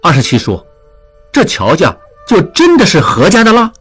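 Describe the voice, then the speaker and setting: Woman voicing a disbelieving young man, questioning, husky. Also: fairly low pitch, wildly swooping pitch, moderate pace, a bit bright, faint music behind, exaggerated voice. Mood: doubtful. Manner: doubtful questioning.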